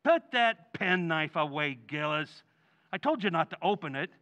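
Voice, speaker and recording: An actor playing a blustering, gullible working-class husband with folksy, ungrammatical speech in an old-time radio comedy. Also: male voice, on the high side, expressive, normal speed, fairly bright tone, muffled recording. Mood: indignant, curious.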